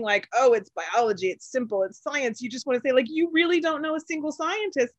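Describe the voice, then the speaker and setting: A woman who calls her own voice low for a woman, talking in an animated, chatty way with plenty of fillers and laughs. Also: dry recording. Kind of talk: casual podcast chat.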